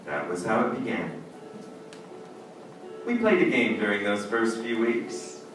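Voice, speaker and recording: Low-voiced radio judge, echoey and distant, acted by a man. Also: big pitch swings, measured pace. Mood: wistful, confessional. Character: infatuated middle-aged married judge, wistful and evasive. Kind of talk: old-time radio drama acting and first-person narration. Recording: echoey, far-off stage recording with music.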